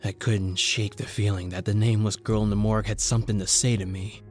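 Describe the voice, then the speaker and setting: A haunted newspaper reporter, acted by a man, tells his story like an old radio thriller. His mid-range voice broods and weighs its pauses, with faint music underneath. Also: lowish voice, flat delivery, lightly acted. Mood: brooding. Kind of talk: old-time radio horror acting, dramatic narration.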